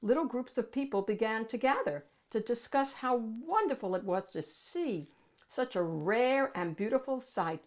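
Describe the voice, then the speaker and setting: A woman reading a picture book aloud as a storytime host, addressing young listeners with dramatic pauses and drawn-out key words. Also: fairly high pitch, lively intonation.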